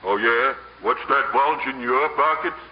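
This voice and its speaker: An actor playing a sour, skeptical sidekick crook, grumbling in slang in 1950s radio comedy style. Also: male voice, mid-range voice, unhurried, roomy sound, natural voice. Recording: noisy muffled old radio broadcast.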